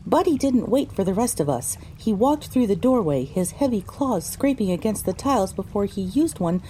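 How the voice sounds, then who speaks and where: A woman's voice: mid-range voice, lively intonation, moderate pace, dark tone, slight background noise, rough recording. A woman narrating an audio drama in the first person from a script.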